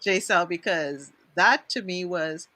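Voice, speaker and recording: Bright-voiced Trinidadian woman host. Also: fairly low pitch, measured pace, no room sound. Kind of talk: casual interview talk.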